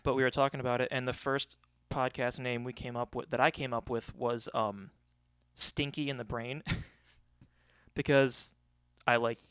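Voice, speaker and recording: A man's voice: mid-range voice, a bit bright, clear, resonant tone, no echo, studio quality. A young American man hosting a casual podcast, goofy and self-deprecating, rambling off into tangents. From New York State, US. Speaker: podcast host. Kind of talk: casual chat.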